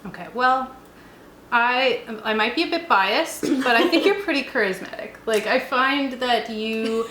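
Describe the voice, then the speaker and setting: A woman's voice: fairly high pitch, unhurried, fairly bright tone. A woman co-hosting a tabletop roleplaying show in loose, casual conversation. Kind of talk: casual chat. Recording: roomy echo, faint background noise.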